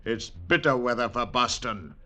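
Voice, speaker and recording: An actor playing a wary, gruff Boston tavern keeper, speaking curtly and low in a 1940s radio play. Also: male voice, expressive, normal speed, bright, forceful tone. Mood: curt suspicious. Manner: curt, suspicious, low-spoken.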